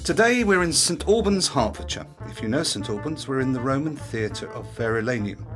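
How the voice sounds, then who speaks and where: A man's voice: medium pitch, lively intonation, a little fast, music in the background. A British man hosting a podcast, delivering a scripted monologue with practical tips. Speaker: podcast host.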